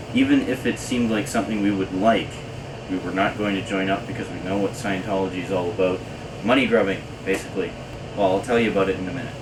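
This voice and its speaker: Deep-voiced man rambling on camcorder tape. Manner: rambling, lots of uh and restarts. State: coming down with a cold. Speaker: video letter host. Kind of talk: casual rambling chat to camera. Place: indoor home program room. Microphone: camcorder mic. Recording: noisy early-90s camcorder tape.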